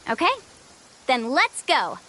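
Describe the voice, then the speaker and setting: Bright-spirited, high-voiced young adventure leader. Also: female voice, sing-song, slow, noisy background, full character voice. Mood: earnest. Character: upbeat, confident young woman adventure guide and team leader. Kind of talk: video game voice acting.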